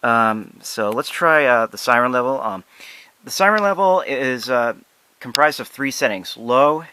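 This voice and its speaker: A young man giving a casual, unscripted product demonstration in a halting, hesitant, apologetic way. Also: medium pitch, lively intonation, very noisy. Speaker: amateur product reviewer.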